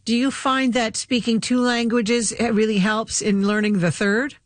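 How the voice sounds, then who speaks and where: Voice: mid-range voice, little pitch movement, normal speed, no echo. An interviewer asking questions and encouraging the guest along. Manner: encouraging, frequent backchannel.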